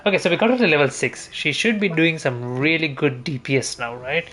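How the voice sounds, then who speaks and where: A man's voice: on the high side, brisk, sharp tone. A man giving casual gameplay commentary as a streamer, muttering to himself. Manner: muttering to himself, mild swearing. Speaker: gaming streamer. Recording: music playing behind the voice. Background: music.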